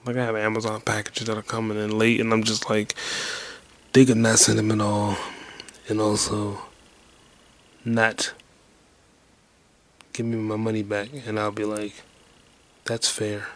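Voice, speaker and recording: A man in his twenties rambling alone on his podcast in a detached, low-energy, half-whispered way. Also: completely flat delivery, measured pace, long pauses. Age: 20s. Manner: low-key, detached, mumbling. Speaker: podcast host. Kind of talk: rambling solo podcast monologue.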